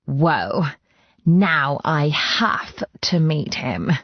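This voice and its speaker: A woman's voice: deep voice, big pitch swings, drawn-out words, no room sound, dull audio, full character voice. A woman voicing a chatty, teasing young female friend in audiobook dialogue, exclamatory and playfully sarcastic. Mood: excited.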